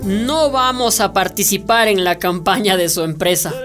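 A woman acting as a bold, teasing young male community radio coordinator in a radio drama, cheeky and deliberate. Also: mid-range voice, faint music behind, natural voice. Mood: firm, teasing.

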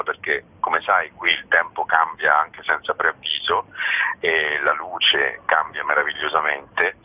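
A man with an extremely low, husky voice speaks in an informal interview. He explains things expansively, often building lists. The recording is muffled and lo-fi, like radio or phone audio. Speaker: festival co-director being interviewed.

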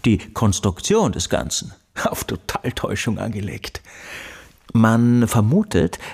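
An actor playing an obsessive, embittered male recluse in a radio drama monologue, brooding and insistent in halting phrases, with a breathy voice. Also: lowish voice, a little mellow, heavily acted. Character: obsessive, embittered recluse, a man devoted to his study.

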